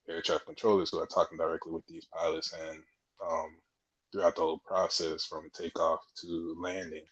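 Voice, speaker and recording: A man's voice: extremely low pitch, a bit bright, slightly rough. A man in his twenties giving casual interview answers in an explanatory, conversational way, as a guest on a podcast. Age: 20s. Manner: explanatory, conversational, frequent "you know". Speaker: podcast guest, air traffic controller trainee. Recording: dull, muffled lo-fi audio.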